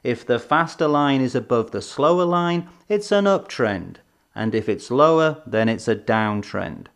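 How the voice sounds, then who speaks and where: A man presenting an educational film in a scripted, measured, explanatory way with emphatic phrasing. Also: medium pitch, lively intonation, clear, resonant tone. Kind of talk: scripted instructional narration. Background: background music.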